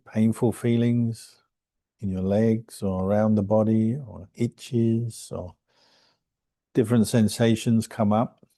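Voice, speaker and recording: A man guiding a meditation as a monk and teacher, speaking calmly and gently with unhurried pauses between phrases. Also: on the low side, no room sound. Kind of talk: guided meditation instruction.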